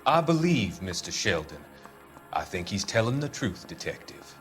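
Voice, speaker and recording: A man's voice: lowish voice, big pitch swings, moderate pace, fairly bright tone, soft background music. A man playing a composed, condescending older doctor in a dramatic video game. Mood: cool, dismissive.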